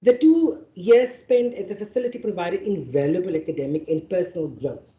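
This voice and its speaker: Woman, Afrikaans mother tongue, lowish voice, mellow tone, reads formal remarks with restarts, roomy hall. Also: expressive, a little fast. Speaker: panel facilitator. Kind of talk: formal speech and speaker introductions. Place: university lecture venue. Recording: echoey hall, dull sound.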